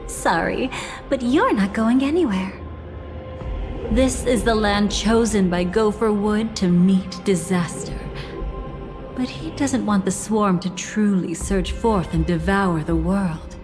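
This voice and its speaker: A woman playing an enigmatic, teasing figure in a video game, measured, languid and knowing. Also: medium pitch, close to an everyday voice. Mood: ominous, composed. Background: game music.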